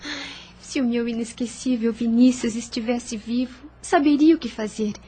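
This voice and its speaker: A woman's voice: fairly high pitch, moderate pace, mellow, a little hiss or hum, lightly acted. A woman acting a determined, compassionate young woman in an audio drama, speaking formally with earnest emphasis. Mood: worried, wistful.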